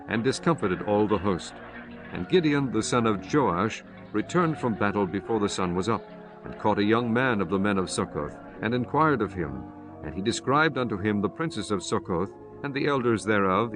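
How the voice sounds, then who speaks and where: Male scripture narrator, lowish pitch, solemn measured reading, clear music bed behind.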